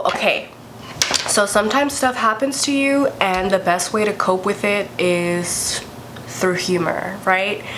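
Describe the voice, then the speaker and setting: A woman's voice: on the low side, background noise. A young woman vlogger with a congested, stuffy voice, chatting candidly and swearing freely as she tells a story. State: congested from a cold. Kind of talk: casual storytime vlog.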